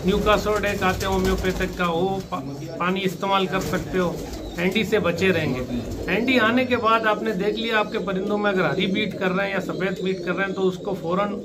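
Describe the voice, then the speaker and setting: A man's voice: sits high, fairly even intonation, a little fast, noisy background. A man with a Pakistani Urdu accent, a pigeon keeper giving plain, instructive advice in a casual interview, often repeating his points.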